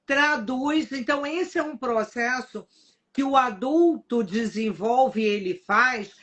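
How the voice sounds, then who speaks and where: A woman's voice: on the high side, takes it slowly, fairly bright tone. A woman with a Brazilian Portuguese accent hosting a live stream, chatty and telling personal anecdotes. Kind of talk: casual live-stream conversation.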